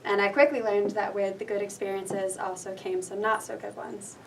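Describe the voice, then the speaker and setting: A woman's voice: mid-range voice, moderate pace. Young woman telling a story from a distance. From Rhode Island. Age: young adult. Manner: earnest, steady storytelling. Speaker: student storyteller. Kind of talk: personal story told on stage. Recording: distant mic with faint noise.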